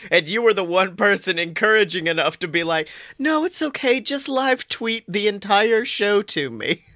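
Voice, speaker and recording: A young man co-hosting a podcast, chatting casually and playfully with lively, mock-outraged reactions. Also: sits high, normal speed, no room sound, studio quality. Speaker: podcast co-host. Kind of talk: casual chat.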